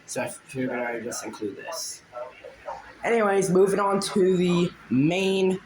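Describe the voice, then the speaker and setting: A man's voice: high voice, a little slow, background noise. A man hosting an unscripted, casual show-and-tell, rambling along and often trailing off into vague asides. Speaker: video host showing a personal collection.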